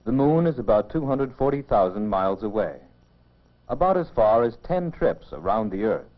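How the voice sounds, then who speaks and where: A man giving measured, explanatory scripted narration for a mid-century educational film, on a dull old film soundtrack. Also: fairly low pitch, big pitch swings.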